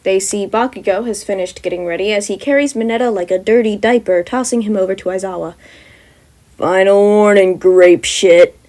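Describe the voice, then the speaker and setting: A woman's voice: mid-range voice, fast talker, hardly any pauses, darkish tone. A young woman reading her own fan fiction aloud. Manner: rambling, self-correcting, upbeat. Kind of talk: podfic narration and casual chat.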